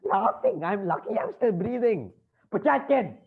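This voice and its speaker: Flustered banker on tinny audio. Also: male voice, mid-range voice, sing-song, brisk, breathy edge. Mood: frantic, outraged. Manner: stammering, fussy, over-polite turning frantic. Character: flustered, exasperated male bank manager, polite but fraying. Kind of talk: comic stage-play acting. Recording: tinny band-limited audio, faint noise.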